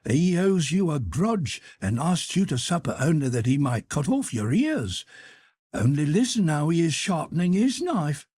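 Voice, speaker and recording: Man doing a scheming young cook girl, high and wheedling, husky, storybook. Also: big pitch swings, moderate pace, mellow, exaggerated voice. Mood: urgent hushed. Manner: wheedling, talking to herself. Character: sly greedy young female cook.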